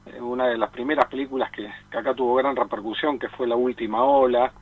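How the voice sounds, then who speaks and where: A man with an Argentine accent giving halting, reflective conversational commentary as a film columnist, calling into a radio show. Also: medium pitch, fairly even intonation, slightly rough. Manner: halting, reflective, repeats himself. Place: remote call into a radio studio. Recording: thin phone-line sound.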